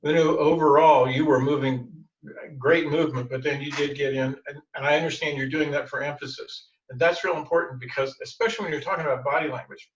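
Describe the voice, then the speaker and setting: A man's voice: a little high, steady tone, fast. Man rambling through feedback on a video call. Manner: rambling, encouraging. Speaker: meeting evaluator. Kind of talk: giving meeting feedback. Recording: distant, echoey, dull video-call audio.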